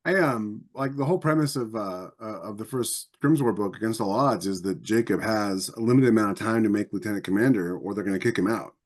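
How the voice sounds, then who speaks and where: Low-voiced man, halting casual talk. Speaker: podcast panelist. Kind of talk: casual chat.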